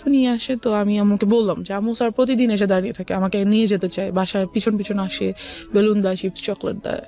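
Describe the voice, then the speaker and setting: Female Bangladeshi interview guest, medium-pitched, personal storytelling with frequent English switches, faint music underneath. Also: steady tone, fast, mellow.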